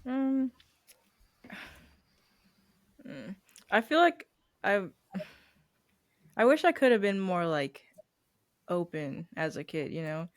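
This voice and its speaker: A young woman in casual podcast chat, soft-spoken and hesitant with lots of "like". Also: medium pitch, expressive, takes it slowly, long pauses, no echo, clean studio sound. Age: young adult.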